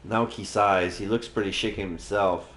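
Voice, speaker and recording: A male streamer with a fairly low voice reads a visual novel aloud. He drops in casual commentary, and the room around him is clearly echoey. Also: fairly even intonation, normal speed, fairly bright tone. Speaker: live streamer.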